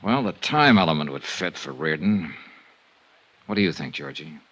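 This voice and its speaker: A man's voice: very deep voice, big pitch swings, normal speed, a bit bright, breathy edge, dull audio, exaggerated voice. An actor in a 1950s radio drama playing a wry, hard-boiled male insurance investigator who probes with dry, casual questions. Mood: calm. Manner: dry, probing, casual banter.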